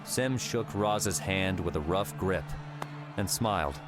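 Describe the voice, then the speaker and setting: A man with a low voice reads a fiction audiobook. His narration is scripted and dramatic, laid over clearly audible music. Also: takes it slowly. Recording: background music under the voice.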